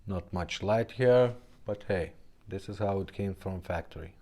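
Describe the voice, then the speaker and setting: Slow-spoken, low-voiced man demonstrating gear. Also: warm tone, a little hiss or hum. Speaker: vintage car radio repairer presenting a demo. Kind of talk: casual product demonstration.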